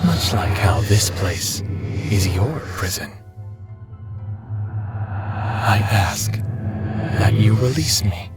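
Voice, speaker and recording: Casting: an imprisoned ancient demon, deep and deliberate, slyly tempting, audio drama. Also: male voice. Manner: slow, menacing, seductively coaxing. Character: ancient sly male demon imprisoned in a book. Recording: loud music and rumbling under the voice.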